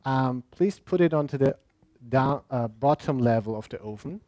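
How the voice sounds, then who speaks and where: A young man presenting a cooking demonstration in a classroom, speaking halting English with restarts, picked up by a distant room mic. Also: medium pitch, animated, warm tone, no echo. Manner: halting non-native English, restarts words. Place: indoor classroom with audience.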